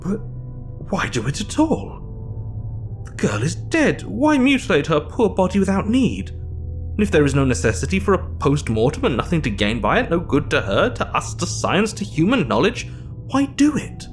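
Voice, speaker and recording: An actor playing a grieving Victorian doctor who recounts his diary in formal, measured narration for an audio drama. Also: a little high, huge pitch swings, soft background music. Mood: outraged, anguished. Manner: formal, measured literary storytelling.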